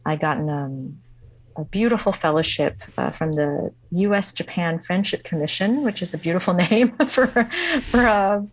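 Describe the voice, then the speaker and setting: A woman guest musician, telling a personal story in an interview, speaking haltingly with many fillers and restarts. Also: on the low side, lively intonation, no echo. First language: not English.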